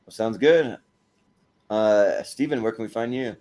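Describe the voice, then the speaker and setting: Halting man hosting a casual panel chat. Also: fairly low pitch, darkish tone. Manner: halting, repeats words as they go. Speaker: host of a movie panel show.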